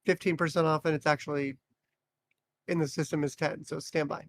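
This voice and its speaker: A man's voice: a little high, fairly even intonation, fairly quick. A man co-hosting a livestream, upbeat and matter-of-fact as he reads out the giveaway details. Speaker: livestream co-host. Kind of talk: casual livestream chat.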